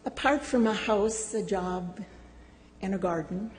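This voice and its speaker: An older woman reading a prepared personal testimony to a church congregation, slow and deliberate with gentle humor. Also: medium pitch, in a large hall, a little hiss or hum. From United States. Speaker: church member giving a testimony. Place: church sanctuary.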